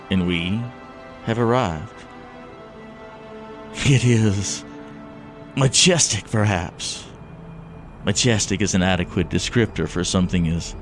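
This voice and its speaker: A man playing a fervent, fatalistic last survivor in a solemn, measured, theatrical audio drama monologue. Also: low voice. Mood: solemn awe. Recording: scary music behind the voice.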